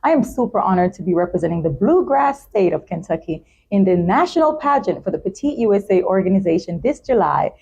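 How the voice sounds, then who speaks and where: A woman's voice: medium pitch, big pitch swings, a little fast, gravelly, few pauses, darkish tone, slight background noise. A woman talk show host with an effusive, polite presenting manner, speaking in announcements and interview chat.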